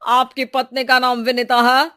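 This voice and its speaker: A woman voicing a calm, official policeman in a story, measured and reassuring. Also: sits high, no echo, studio quality, voice pushed down. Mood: calm, grave. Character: calm, official policeman bearing bad news.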